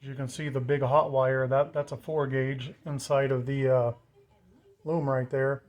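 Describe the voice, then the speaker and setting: A man's voice: mid-range voice, little pitch movement, measured pace, a little mellow, highs cut off. A man giving a casual, plainspoken hands-on walkthrough of his own project, informally pointing things out as he goes. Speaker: vlogger showing his truck wiring project.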